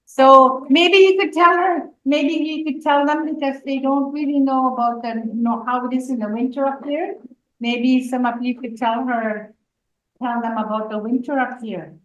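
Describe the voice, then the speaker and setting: A woman's voice: high voice, steady tone, moderate pace, fairly bright tone, reverberant, highs cut off, a little hiss or hum. A woman teacher in a classroom, hosting a video call and prompting her pupils to speak. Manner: prompting children, conversational.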